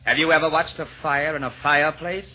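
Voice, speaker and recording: An actor playing a jolly, eloquent Santa Claus, declaiming rhymed verse grandly in 1940s radio drama style. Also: male voice, on the high side, steady tone, fairly quick, close to an everyday voice. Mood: lyrical, rapturous. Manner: grand, declamatory rhyming delivery. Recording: dull vintage 1940s radio recording.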